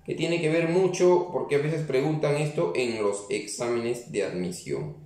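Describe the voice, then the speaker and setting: A man's voice: mid-range voice, unhurried, a little mellow. Male teacher, keeps checking in with the class; heavy room echo, mic far away. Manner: explains step by step, keeps checking with "¿ya?". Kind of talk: classroom grammar lesson.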